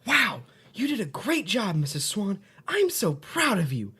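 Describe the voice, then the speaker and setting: A woman's voice: mid-range voice, wildly swooping pitch, unhurried, fairly bright tone, husky, heavily acted. A woman playing a cheerful, bossy children's-show host in an audio drama, giving instructions in an upbeat, reassuring way. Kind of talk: audio drama, parody of educational kids' show.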